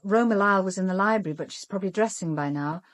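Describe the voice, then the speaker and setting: Female private detective, English, radio drama actress: mid-pitched, composed, observant, matter-of-fact, dry studio sound. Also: quick pace, no room sound, close to an everyday voice. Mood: cool, frank. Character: cool, observant young woman private detective. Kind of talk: British radio drama acting.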